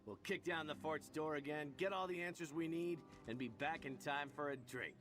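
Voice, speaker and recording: An actor playing a wry, roguish heroic knight in a fantasy game, with a conversational delivery. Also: male voice, fairly high pitch, moderate pace, fairly bright tone, faint music behind, natural voice. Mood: wry, troubled. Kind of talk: scripted game dialogue.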